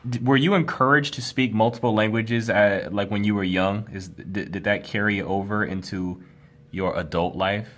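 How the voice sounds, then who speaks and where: A man interviewing a woman in a relaxed, conversational way, asking questions and murmuring along. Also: fairly low pitch, normal speed. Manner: conversational, frequent back-channel murmurs.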